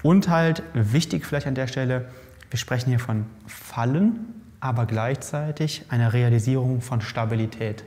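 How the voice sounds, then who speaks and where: A man's voice: mid-range voice, normal speed. Man talking shop in a reverberant lab. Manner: lively, explaining, restarts mid-sentence. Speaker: host. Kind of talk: casual expert conversation. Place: bike fitting lab. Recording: long reverb in a lab room.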